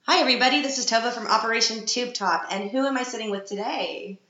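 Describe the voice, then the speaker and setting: A woman's voice: fairly high pitch, fast, sharp tone. A woman hosting a podcast interview, friendly and giggly, encouraging her guests. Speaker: podcast host. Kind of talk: casual interview. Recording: echoey room, dull lo-fi.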